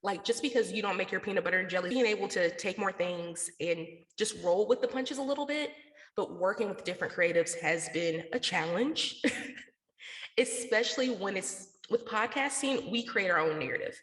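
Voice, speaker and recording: A woman's voice: medium pitch, lively intonation, normal speed, fairly bright tone. An American woman from the South talking casually and slangily as a guest in a radio interview. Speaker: interview guest, podcast network founder. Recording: echoey, reverberant room.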